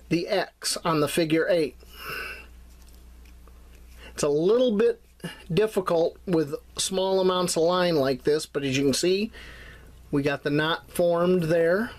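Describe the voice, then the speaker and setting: Man calmly explaining knot tying. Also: on the high side. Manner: plain step-by-step explaining while tying. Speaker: bushcraft instructor. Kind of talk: hands-on knot tutorial.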